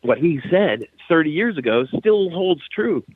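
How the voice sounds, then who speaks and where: A man's voice: fairly high pitch, sing-song, unhurried, husky. A middle-aged man chatting casually as a podcast guest, often checking in with the listener as he goes. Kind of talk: casual chat. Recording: narrow, phone-like call line.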